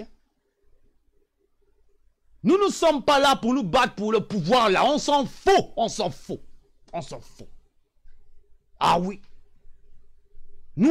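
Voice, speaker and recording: Impassioned Congolese man, high lively voice. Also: measured pace, long pauses. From Republic of the Congo. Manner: emphatic, repeats phrases for emphasis, drops to low sighing asides. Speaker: online commentator. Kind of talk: impassioned political monologue.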